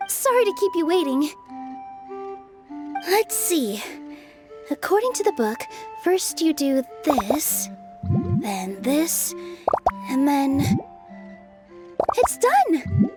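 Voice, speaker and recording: A woman playing a gentle, earnest and thoughtful young dancer in a fairy-tale game. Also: high voice, big pitch swings, drawn-out words, long pauses, over music, exaggerated voice. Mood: bright, helpful. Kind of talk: English game dub voice acting.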